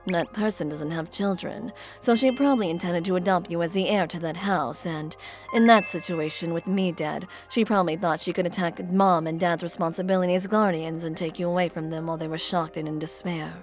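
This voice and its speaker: A woman playing a composed, coolly vengeful young older sister in a manga dub, speaking deliberately and resolutely. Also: on the low side, fairly even intonation, faint music behind, close to an everyday voice. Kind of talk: anime-style manga dub acting.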